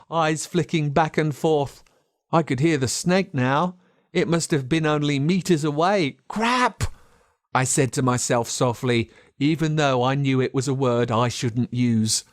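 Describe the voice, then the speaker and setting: An Australian man reading a story aloud as a dramatic narrator, expressive and measured with telling pauses. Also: a little high, no echo, studio quality. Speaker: audiobook narrator. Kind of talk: dramatic scripted storytelling in first person.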